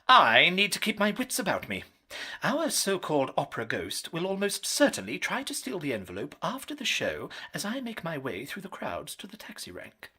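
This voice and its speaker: An actor playing a pompous, excitable, self-satisfied male opera manager in a radio drama, theatrical and wordy. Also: fairly high pitch, normal speed, breathy edge, full character voice. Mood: excited, determined. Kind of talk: full-cast radio drama acting.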